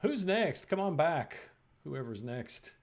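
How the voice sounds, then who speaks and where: A man hosting improv games over a video call, lively and quick with asides and frequent laughs. His voice sits fairly high. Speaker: improv show host.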